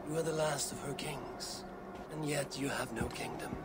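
Viking jarl for a video game male actor: steady, blunt, solemn, mid-pitched, under heavy music. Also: normal speed, lightly acted. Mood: guarded, challenging. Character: Norse Viking warrior jarl, adult man, steady and blunt. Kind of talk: video game cutscene acting. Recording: loud music behind the voice.

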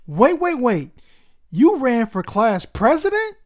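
A woman's voice: a little high, wildly swooping pitch, slow pace, voice pushed up, exaggerated voice. A woman voicing an anxious, self-doubting schoolboy in a children's storybook, with an earnest, plaintive manner. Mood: surprised.

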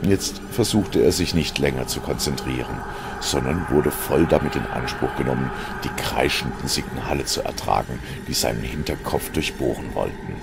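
A man's voice: a bass voice, normal speed, creaky. A man reading scripted science fiction as a narrator, in a dramatic style. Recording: music behind the narration. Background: music.

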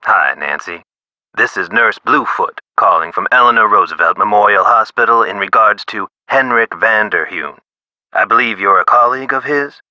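A man's voice: lowish voice, moderate pace, sharp tone, no room sound, dull audio. A man playing a chatty, eccentric hospital nurse in a video game, explaining things with theatrical, whimsical emphasis. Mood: friendly, professional. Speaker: nurse character in an adventure game.

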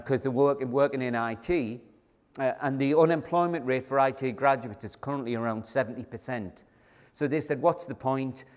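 A man giving a conversational, emphatic keynote talk to a large conference audience. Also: medium pitch. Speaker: keynote speaker. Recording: long hall reverb.

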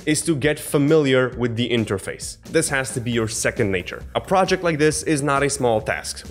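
A man in his twenties hosts a casual tutorial with a medium-pitched voice. He explains things informally, talking straight to the viewer. He is close on a studio microphone in a small room, with music playing behind him. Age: 20s. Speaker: software tutorial host. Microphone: close studio microphone on boom arm. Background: background music.

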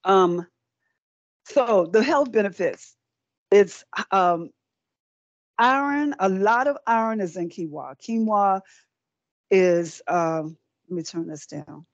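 A woman's voice: mid-range voice, slow, pauses often, no room sound. A middle-aged woman giving a live cooking demonstration, chatty and encouraging, often repeating words while she works at the stove. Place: small indoor set with folding screens. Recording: dry, dull lo-fi sound.

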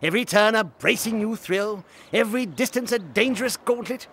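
An actor playing a pompous, effusive muscle car racer in a video game, speaking in a florid, theatrical manner. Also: male voice, sits high, brisk, a bit bright, slight background noise. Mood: grandly enthusiastic. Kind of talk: animated video game acting.

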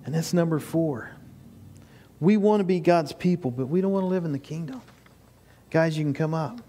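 An older man delivers a sermon in a small church, his voice sitting high for a man. He presses his points with rising, repeated phrases. The sound comes from a camera at the back of the sanctuary. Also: animated, normal speed, warm tone. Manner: preaching with rising emphatic bursts and repeated phrases. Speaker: pastor. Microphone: far camera, no mic seen.

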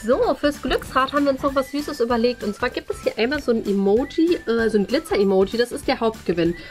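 A woman's voice: fairly high pitch, quick pace. A young adult woman who hosts a vlog, narrating and chatting casually in an indoor kitchen. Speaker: host of a crafts and party vlog. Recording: music behind. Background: background music.

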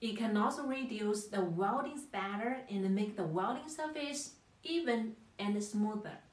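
Echoey room, young woman, careful scripted technical explainer, mid-pitched voice. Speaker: product presenter. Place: small plain indoor room, seated.

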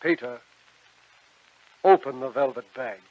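An actor playing a silky, devilish stranger in a radio drama, coaxing with theatrical, mock-courteous charm. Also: male voice, medium pitch, a little slow, dry recording, close to an everyday voice. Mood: tempting. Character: sly, silky devilish stranger who buys shadows. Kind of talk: old-time radio drama acting. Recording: dull vintage radio recording with music.

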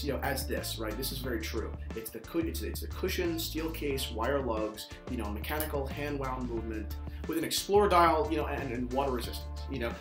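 A man in his twenties hosting a video, chatting casually as an enthusiast in a rambling way with frequent filler phrases. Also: on the high side. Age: 20s. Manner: rambling, peppered with "you know". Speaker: watch channel host. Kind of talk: casual enthusiast chat to camera. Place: small indoor office, seated at a desk. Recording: echoey small room.

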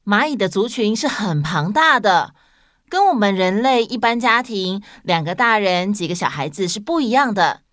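A woman's voice: mid-range voice, lively intonation, moderate pace, a bit bright, dry recording, studio quality. A woman explaining facts to children as a podcast co-host.

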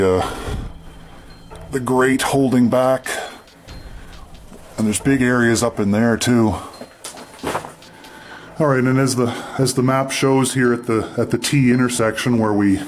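A man from Canada giving casual, halting running commentary as he explores underground and describes what he sees. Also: fairly low pitch, a little hiss or hum. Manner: halting, unscripted descriptions of surroundings. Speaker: mine explorer and video host. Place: underground in an abandoned mine tunnel.

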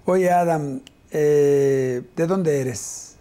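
Man, 50s, TV interview host; mellow, a touch high, measured delivery, lapel mic in a studio. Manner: warm, measured welcome, frequent short affirmations. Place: TV studio set.

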